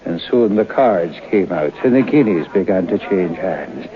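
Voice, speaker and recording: An actor playing a knowing folk-tale storyteller, narrating an old-time radio drama in an unhurried way. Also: male voice, sits low, expressive. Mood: wry. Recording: muffled, hissy old radio broadcast.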